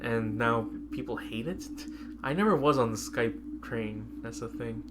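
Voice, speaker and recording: Male podcast co-host, mid-pitched, casual and chatty, recorded with clear background noise. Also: unhurried.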